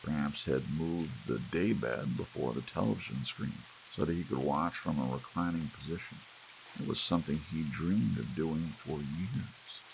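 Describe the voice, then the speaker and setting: Deep, creaky man narrating a story. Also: measured pace, rough recording. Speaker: audiobook narrator. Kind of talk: scripted story narration, ASMR audiobook. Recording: steady rain and hiss behind. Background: soft rain.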